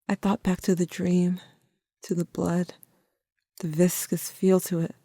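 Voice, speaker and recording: Low, dark-voiced brooding young narrator played by a woman. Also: dry recording, clean studio sound. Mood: haunted, dread. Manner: measured, confessional, somber. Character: brooding, guilt-haunted young narrator addressing a friend. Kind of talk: scripted audio drama narration.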